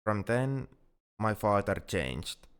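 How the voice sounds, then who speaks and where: A man's voice: on the low side, very animated, slow, clear, resonant tone. A man playing a shy, self-blaming young male alien in an ASMR roleplay, speaking in a hesitant, earnest way. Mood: wistful, sad. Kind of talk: ASMR roleplay audio drama.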